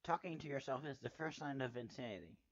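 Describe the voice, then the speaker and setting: A man narrates fiction in a dramatic, sardonic style. His voice sits at an average pitch. The recording is very dry and somewhat dull and lo-fi. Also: lively intonation, fast, dry recording. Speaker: amateur fanfiction reader. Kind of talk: dramatic first-person story narration.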